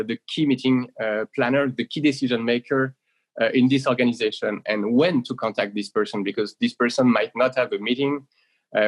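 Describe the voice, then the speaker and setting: Man in his thirties, founder guest, mid-pitched voice, explains steadily, lots of uhs. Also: poor audio. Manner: steady, frequent uh fillers and repeated words. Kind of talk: business interview answers.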